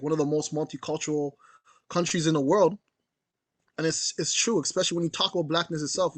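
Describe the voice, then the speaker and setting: A man with a Jamaican accent talks as an interview guest, easygoing and rambling, leaning on "you know" and "like". His voice sits a little high for a man. The sound is close and dry, with no room around it. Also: no room sound. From Canada. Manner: loose and chatty, full of "you know" and "like". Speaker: guest artist and book contributor. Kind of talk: casual conversation.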